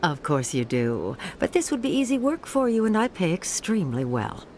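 A woman's voice: on the low side, big pitch swings, moderate pace, a little mellow. A woman playing a calculating underworld businesswoman in a video game, speaking formally, with composed persuasion. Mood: coaxing. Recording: faint ambient noise behind.

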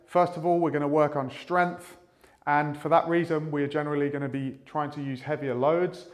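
A man in his thirties speaking as a fitness coach, explaining in a measured, methodical way to camera. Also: fairly high pitch, level tone. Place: small indoor room with a whiteboard.

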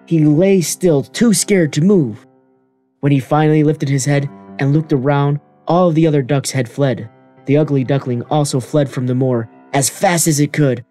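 A man's voice: on the high side, moderate pace, dark tone, soft background music. A man giving scripted narration of a children's fairy tale.

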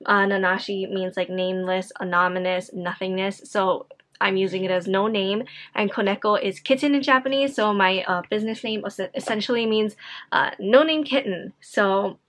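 A woman's voice: medium pitch, fairly bright tone. Tired, rambling young woman vlogging at home. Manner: chatty, rambling, many fillers and self-corrections. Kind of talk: casual chat to camera. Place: home office room, indoors.

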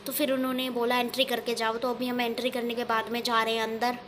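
A woman's voice: a little high, flat delivery, quick pace, a bit bright. A young woman explaining updates straight to her viewers in a casual vlog, filmed on a handheld phone outdoors. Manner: explaining, addressing viewers directly. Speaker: vlogger. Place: outdoors by city office buildings. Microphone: handheld phone camera. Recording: outdoor street noise.

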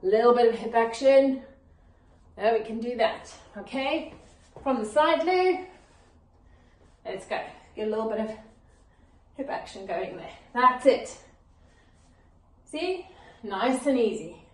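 A woman with a fairly high voice coaches exercises informally. She gives short, encouraging cues while demonstrating. Her voice echoes in a living room, picked up by a camera a few steps away. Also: lively intonation, measured pace, pauses often. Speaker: fitness coach. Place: living room at home, indoors.

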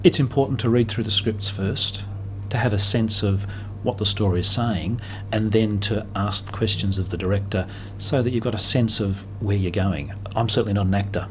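A man in his forties with a fairly low voice speaks reflectively as an interviewee. A faint hum runs behind him in a small room. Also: normal speed. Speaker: jazz radio presenter. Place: small indoor radio station room.

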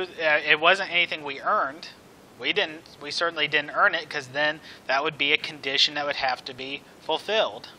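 Male preacher, slightly high voice, slow and emphatic delivery from the pulpit. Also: pushed and bright, slight background noise, poor audio. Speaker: preacher, church elder. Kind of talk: sermon. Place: indoors at a church pulpit.

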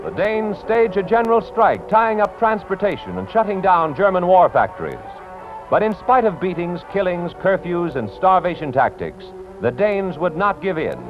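A man's voice: high-pitched, animated, normal speed. An American man reading scripted 1940s newsreel narration in a solemn, dramatic announcer's manner. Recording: dull lo-fi 1940s newsreel soundtrack. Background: loud orchestral music.

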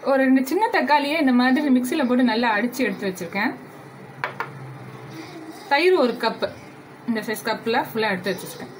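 A woman with a fairly high voice talks viewers through a recipe at her stove, taking it one step at a time and speaking to them directly. The recording has clear background noise and kitchen sounds. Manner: unhurried step-by-step instructions, addressing viewers directly. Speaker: cooking channel host. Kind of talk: home cooking demonstration. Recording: noisy home recording.

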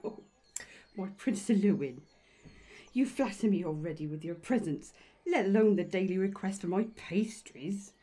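A woman's voice: mid-range voice, unhurried, mellow, close to an everyday voice. A woman playing a flustered, fawning elf baker in a fantasy roleplay, gushing and deferential. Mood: flattered, coy. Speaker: voice actor. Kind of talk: ASMR fantasy audio roleplay.

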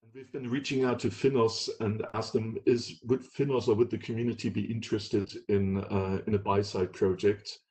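A man's voice: on the low side, steady tone, moderate pace, mellow, rough recording. A man presenting informally on technical material, often repeating and rephrasing himself as he goes. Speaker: presenter at a fintech meetup.

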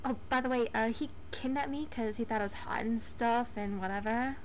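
A woman's voice: on the high side, brisk, a little hiss or hum. A woman improvising a comedy fandub in a goofy, mumbling way on a home microphone. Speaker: amateur dubber ad-libbing over cartoon clips. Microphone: USB desktop microphone. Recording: music behind a home USB microphone recording. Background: film music.

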